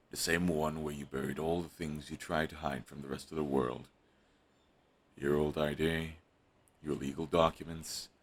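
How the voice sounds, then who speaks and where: A man's voice: very deep voice, a bit bright, slightly rough, exaggerated voice. A young man playing a bitter, fiercely devoted male villain in an audio roleplay, talking in an intense, raw way and swearing often.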